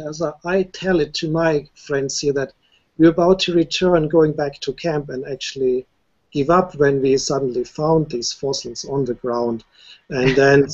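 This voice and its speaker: A man with a somewhat high, husky voice tells things in a lively way. The audio is dull and lo-fi, like an online call. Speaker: university researcher. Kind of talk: casual panel chat.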